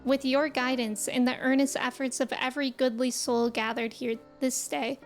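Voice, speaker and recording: A woman acts out a gracious leader from a fantasy game, solemn and stately. The high voice delivers formal phrases with soft music behind it. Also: flat delivery, normal speed. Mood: solemn, grateful.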